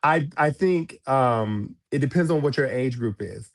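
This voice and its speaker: A man's voice: medium pitch, lively intonation, unhurried. Man in his forties, storytelling guest. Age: 40s. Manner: storytelling, frequent restarts and repeated words. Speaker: guest, drag performer. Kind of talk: casual interview talk. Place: podcast studio.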